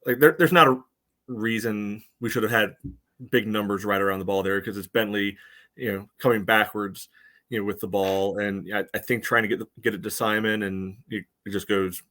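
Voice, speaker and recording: A man's voice: fairly low pitch, steady tone, normal speed, sharp tone. An American man co-hosting a soccer podcast, talking through a match in casual, conversational analysis.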